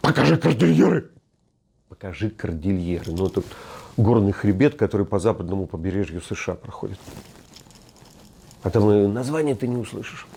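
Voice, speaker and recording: A man's voice: fairly low pitch, brisk, pauses often, dark tone, husky. A man in his fifties hosting a scripted commentary monologue from a hotel room, wry, ironic and reflective. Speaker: host.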